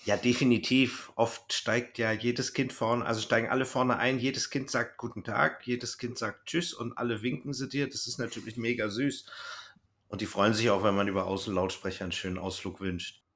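A man's voice: mid-range voice, steady tone, moderate pace, a bit bright. A man co-hosting a podcast, chatting casually as he reviews audio dramas. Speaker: podcast co-host; bus driver.

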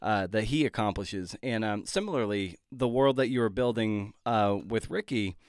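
A man hosting a podcast interview in a casual, halting and rambling way, with many fillers. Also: on the low side, smooth, no room sound, studio quality.

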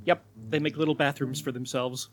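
A man co-hosting a podcast, calmly explaining facts in casual chat. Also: mid-range voice, fairly quick. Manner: calm, explanatory, laughs along. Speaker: podcast co-host.

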